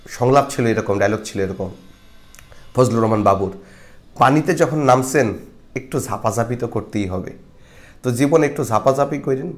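A middle-aged man from Bangladesh giving a casual film review to camera on a lapel mic, reflective and moralizing, often pausing with filler sounds. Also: fairly low pitch. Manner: reflective, moralizing, frequent hesitations. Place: small indoor studio with patterned backdrop.

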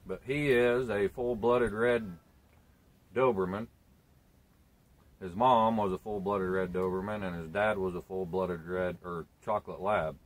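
A man's voice: deep voice, steady tone, measured pace. A man in his thirties chatting casually in a laid-back, rambling way, sitting outdoors on a sunny porch and filmed by a camera a few feet away. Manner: laid-back, rambling, plain country talk. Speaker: homesteading vlogger. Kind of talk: casual chat. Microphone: camera on a tripod a few feet away.

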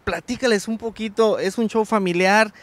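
A man's voice: high-pitched, fairly even intonation, moderate pace. A man in his thirties hosting a street interview by the sea, joking and upbeat, speaking into a handheld microphone. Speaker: reporter and host. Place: seaside street outdoors in sunshine.

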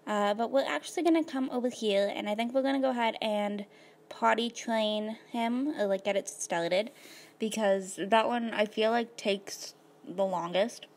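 Slightly hoarse woman rambling over gameplay. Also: fairly high pitch, moderate pace. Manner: rambling, filler-heavy, laid-back. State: slightly hoarse, losing her voice. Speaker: gaming video host. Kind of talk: casual gameplay commentary.

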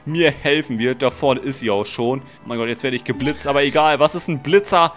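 A young man narrating a roleplay game in a dramatic, urgent, slangy way, excited as he plays. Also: mid-range voice, fairly quick. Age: young adult. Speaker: video creator playing a roleplay game. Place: indoor streaming room. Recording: music behind the voice.

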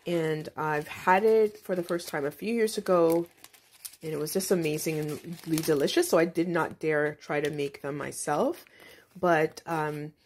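A woman's voice: sits low, steady tone, unhurried, a little hiss or hum. A woman in her forties, an author, chatting casually through a home cooking video with frequent ums. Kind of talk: casual cooking vlog narration. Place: home kitchen.

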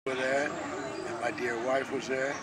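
An older man in his eighties or nineties, remembering his working life in a slow, halting way with many pauses, recorded outdoors at a picnic. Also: medium pitch, level tone. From New Jersey, US. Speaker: interviewee. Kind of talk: casual reminiscing interview. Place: outdoor family picnic in a park. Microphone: camcorder mic a little distance off. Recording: busy outdoor crowd noise. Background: crowd at a picnic.

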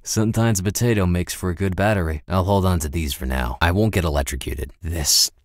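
An actor voicing a weary, cynical American mercenary in a video game, with a laconic, deadpan delivery. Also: male voice, deep voice, fairly quick, no echo, studio quality, lightly acted. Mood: weary, cool. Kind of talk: video game character voice lines, short barks and remarks.

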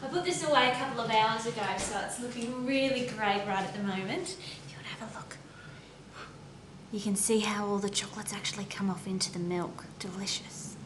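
A woman's voice: medium pitch, fairly even intonation, fairly quick, recorded from a distance, noisy background. Chirpy woman host in an echoey kitchen. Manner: chirpy, overly careful demonstrating tone. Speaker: cooking show host. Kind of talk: mock cooking show presenting. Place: home kitchen, indoors. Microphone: camera mic from across the room.

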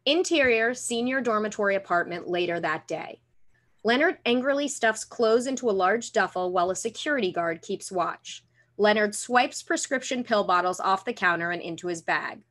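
A woman's voice: medium pitch, animated, moderate pace, a bit bright. A young adult woman reading screenplay stage directions as scripted narration on a video call. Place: room at home, video call.

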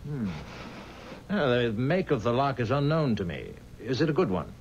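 A mysterious, unearthly male stranger in an old radio mystery. He is curt and coolly formal, with wide swings of pitch. The recording is muffled, with clear noise over it. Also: big pitch swings, normal speed. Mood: cool, cryptic. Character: mysterious unearthly stranger collecting his own coffin. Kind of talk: 1970s radio mystery acting.

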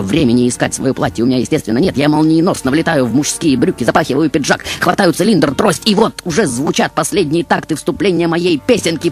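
A man's voice: a little high, voice pushed up, natural voice. A man playing a seductive, self-admiring film diva, telling her story on a radio show in a theatrical, ironic, grandiose manner.

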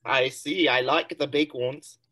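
A woman co-hosting a podcast, playing along in a put-on accent with slow, playful chat. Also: very deep voice, fairly even intonation, pushed and bright, slight background noise. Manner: slow and playful, joining in the bit. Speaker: podcast co-host.

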